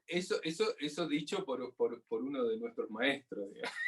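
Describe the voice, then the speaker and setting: A man's voice: mid-range voice, lively intonation, normal speed, a crowd in the background. A man with an Argentine accent making teasing, joking asides as a guest.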